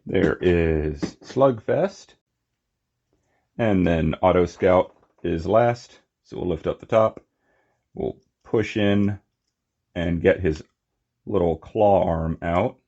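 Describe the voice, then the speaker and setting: A man with a very low, crackly voice talks casually through a hands-on review. His delivery is unscripted and informal, with small muttered asides as he works. The sound is somewhat muffled and lo-fi. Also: slow pace, pauses often, warm tone. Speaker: toy collector and reviewer.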